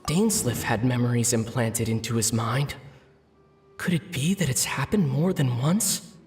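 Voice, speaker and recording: A thoughtful young adventurer in a game acted by a man speaks at a middle pitch with measured composure. He sounds analytical and pensive over a bed of music. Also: a little mellow, natural voice. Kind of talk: video game voice acting. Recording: music behind the voice.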